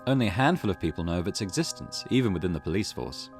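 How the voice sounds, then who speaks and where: A man's voice: sits low, very animated, quick pace. A man hosting a gaming video, reading game text aloud with casual commentary. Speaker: gaming channel host. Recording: music behind the voice. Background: game music.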